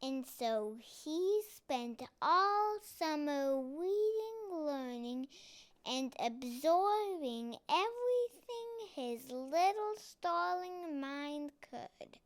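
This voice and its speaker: A child reading a picture book aloud carefully, phrase by phrase, with pauses. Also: high-pitched, sing-song, no room sound. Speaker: storybook reader.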